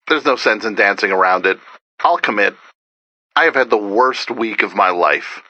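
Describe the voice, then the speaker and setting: Animated, bright-voiced radio DJ. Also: male voice, mid-range voice, a little hiss or hum, exaggerated voice, poor audio. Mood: weary, grave. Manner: dramatic, urgent, wry, conversational. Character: earnest, wry, excitable survivor radio DJ in an apocalypse. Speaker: radio broadcaster character. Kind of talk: video game voice acting, radio broadcast monologue.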